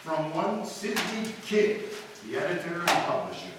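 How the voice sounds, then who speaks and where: An actor playing an urbane, teasing society gentleman with a sardonic manner in an old-time radio comedy. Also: high-pitched, expressive, normal speed. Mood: wry, needling. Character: suave, teasing male society sophisticate, wryly needling. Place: live stage performance in a hall. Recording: very echoey hall, distant mic, noisy.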